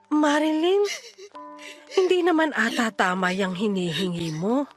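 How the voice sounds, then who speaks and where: An actress voices a patient, worried young woman in a Filipino radio drama. Her Filipino-accented voice is on the high side, earnest and reassuring as a loyal friend. Light music plays underneath. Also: unhurried, lightly acted. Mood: taken aback. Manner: earnest, reassuring, gently insistent. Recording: music behind the voice.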